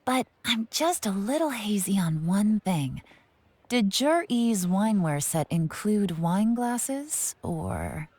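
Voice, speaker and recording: A woman playing a shrewd, composed intelligence commander in a video game, speaking in a cool, deliberate, commanding manner. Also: medium pitch, big pitch swings, a little mellow. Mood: casually polite. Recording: music playing behind the voice.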